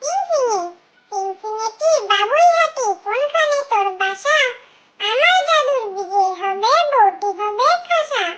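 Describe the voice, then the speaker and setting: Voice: very high voice, lively intonation, measured pace, fairly bright tone, exaggerated voice. A performer voicing a small cartoon child who chants nursery rhymes in a simple, repetitive sing-song.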